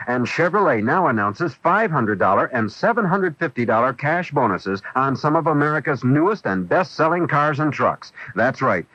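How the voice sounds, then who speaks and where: Animated male radio ad announcer. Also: fairly high pitch, no room sound. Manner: punchy, emphatic salesman delivery. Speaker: commercial announcer. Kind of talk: scripted car advertisement. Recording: dull, lo-fi 1980s radio broadcast.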